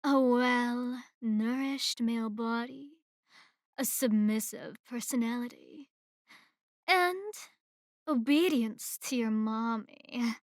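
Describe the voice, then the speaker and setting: Slow, high-voiced haughty female boss played by an actress. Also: no echo, studio quality. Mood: commanding, sultry. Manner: condescending, deliberate, mocking. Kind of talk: ASMR roleplay acting. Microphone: close microphone in a dry room.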